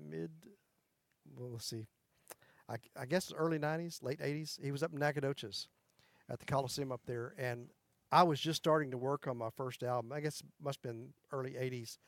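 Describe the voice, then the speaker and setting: A man's voice: steady tone, fairly quick, vocal fry, dry recording. A man, a country singer chatting in an interview and telling folksy stories with frequent chuckles. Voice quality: high tenor voice. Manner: folksy storyteller, chuckles often. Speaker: country music singer and guest. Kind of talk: casual interview chat.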